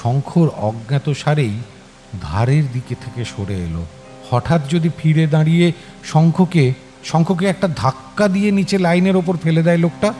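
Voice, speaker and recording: A male storyteller reads with suspense and drama, his fairly high voice swinging widely in pitch. He performs the scripted tale expressively, giving questions an acted edge. Music plays clearly behind him. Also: animated, moderate pace, mellow. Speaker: audio story narrator. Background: clear background music.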